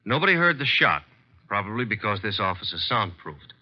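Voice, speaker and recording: A man playing a brisk, wry detective's assistant in a vintage radio mystery, matter-of-fact in delivery. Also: fairly low pitch, big pitch swings. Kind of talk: 1940s-50s radio mystery acting. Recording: dull vintage radio recording.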